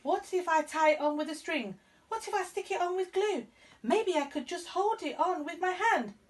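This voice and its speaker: A woman's voice: very high voice, expressive, moderate pace, recorded from a distance, voice pushed up. A woman voicing a proud, earnest young elephant-headed boy god in a children's storybook, with a childlike manner. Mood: dismayed.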